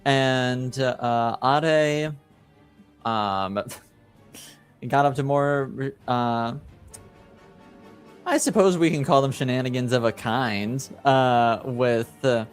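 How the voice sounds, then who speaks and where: A man's voice: medium pitch, very slow, pauses often, clean tone, soft background music. A man hosting as game master, recapping casually in a hesitant, wry, amused way.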